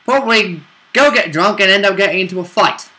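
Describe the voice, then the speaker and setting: A man's voice: high-pitched, a little fast, sharp tone. A man who plays in a tabletop campaign, talking it over in casual chat. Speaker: player in the roleplay campaign.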